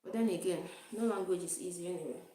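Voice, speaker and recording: A woman's voice: lowish voice, fast talker, dark tone. A young Nigerian woman with an accent, chatting casually and rambling on a livestream, often laughing at herself. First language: Igbo. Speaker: vlogger. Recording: very echoey room.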